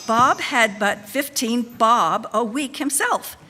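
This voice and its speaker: A woman's voice: a little high, takes it slowly, bright, forceful tone, soft background music. Woman narrator in a reverberant hall. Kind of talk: dramatic reading of a Victorian tale. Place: live in a church.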